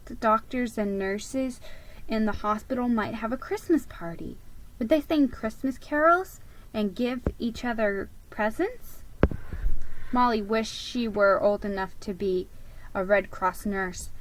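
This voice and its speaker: A woman reading a children's story aloud as a dramatic reading, with expressive, emphatic storytelling. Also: medium pitch, low-quality recording. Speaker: storybook reader.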